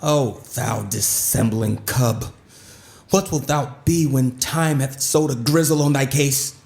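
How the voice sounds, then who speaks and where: An actor playing a proud, lovesick duke in Shakespearean audio drama, speaking verse in a measured, wounded, theatrical way. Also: male voice, medium pitch, vocal fry, a little mellow. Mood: bitter, menacing.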